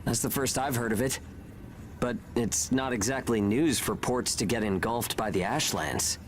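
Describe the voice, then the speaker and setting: A man playing a quietly defiant, weary young soldier in a video game, speaking in an earnest, measured way. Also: medium pitch, a little hiss or hum, natural voice. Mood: dry, calm. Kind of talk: video game cutscene voice acting. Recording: music behind the voice.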